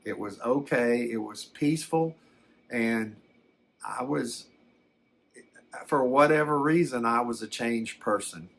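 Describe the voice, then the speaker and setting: A man playing a gentle, rambling older man who recalls his alien abduction in a hesitant, folksy, reflective way for an ASMR story. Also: medium pitch, slow pace, creaky, pauses often, exaggerated voice. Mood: reflective, halting. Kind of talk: first-person ASMR storytelling.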